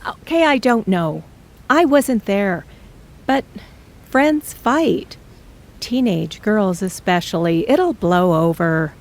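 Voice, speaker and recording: A woman voicing a reassuring middle-aged mother in an audio drama, speaking gently and measured. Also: medium pitch, expressive. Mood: soothing.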